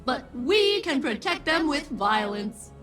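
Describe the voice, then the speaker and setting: Chirpy high ai lady from a video game, polite but keen on violence, clipped lines, music under it. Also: sing-song, slow pace, fairly bright tone, exaggerated voice. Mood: bright, eager. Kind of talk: video game character dialogue.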